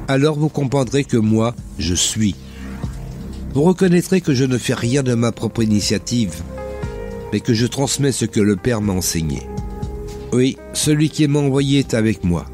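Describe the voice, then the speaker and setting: A man with a cold and a slightly hoarse voice, hosting a radio quiz and reading Bible passages aloud with joking asides. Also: medium pitch. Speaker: radio host. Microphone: studio microphone.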